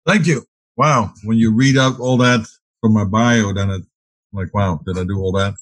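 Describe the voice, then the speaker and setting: A man's voice: on the low side, fairly even intonation, normal speed, dry recording. A middle-aged man whose first language is Dutch, answering interview questions in a rambling way, with many fillers and restarts. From Netherlands. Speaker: guest, business owner.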